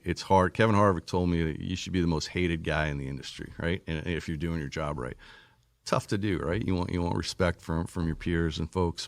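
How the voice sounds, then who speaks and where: A man's voice: low voice, dry recording. A man speaking as an official guest in a casual interview, explaining things plainly and often checking in with short tag questions. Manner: plain-spoken, frequent 'right?' tags. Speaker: motorsport series official, podcast guest.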